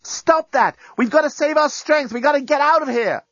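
A man voicing an urgent, loving, bossy woman who yells out from afar in a comic audiobook. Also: very high-pitched, normal speed, no echo, muffled recording, voice pushed up. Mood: urgent, desperate. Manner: yelling, urgent.

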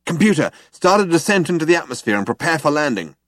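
A man voicing a brash, cocksure, excitable male space adventurer in a loud, impatient manner. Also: fairly high pitch, quick pace, no echo, clean studio sound, pitched above the performer's own voice.

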